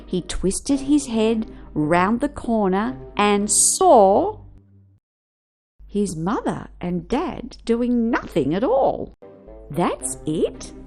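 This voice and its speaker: A woman reading a children's picture book aloud, with expressive, rhythmic delivery of the verse. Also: a little high, extremely slow. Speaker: storyteller. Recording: music behind the voice.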